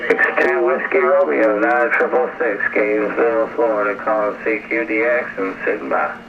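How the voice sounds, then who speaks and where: A man's voice: mid-range voice, animated, normal speed, creaky. A man chatting casually over a two-way CB radio as an operator on the air. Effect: CB radio transmission. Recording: muffled, noisy CB radio reception.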